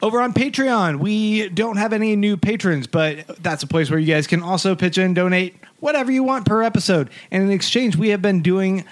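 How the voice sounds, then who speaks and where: A man's voice: high-pitched, brisk, hardly any pauses. A man hosting a casual podcast, upbeat and promotional, chuckling now and then. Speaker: podcast host.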